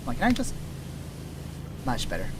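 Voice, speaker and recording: A man streaming as a VTuber, chatting casually while he plays, his voice a little raspy and tired from days of voice work, full of loose asides and restarts. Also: mid-range voice, wildly swooping pitch, normal speed, background noise. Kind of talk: casual rambling while gaming.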